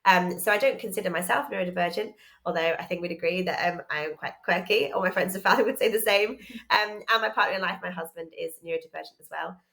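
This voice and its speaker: This is a woman with a slightly low voice. She presents a course in an informal way, explaining it piece by piece. Her sentences often pause and pick up again with small hesitant asides. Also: vocal fry, a bit bright. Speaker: educational psychologist and course presenter.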